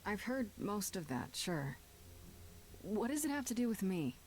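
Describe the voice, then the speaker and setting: A woman's voice: medium pitch, big pitch swings, measured pace, a little mellow, low-quality recording. A woman playing a defiant, sardonic young heroine in a video game, talking in a dry, challenging, conversational way. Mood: guarded, challenging.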